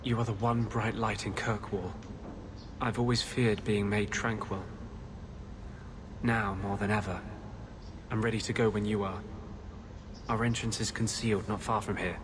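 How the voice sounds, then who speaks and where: A man playing an intense, embittered rebel mage in a video game, idealistic and distrustful, speaking gravely and urgently. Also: on the low side, flat delivery, normal speed, pauses often, background noise, close to an everyday voice. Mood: urgent, pleading. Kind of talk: dramatic video game dialogue.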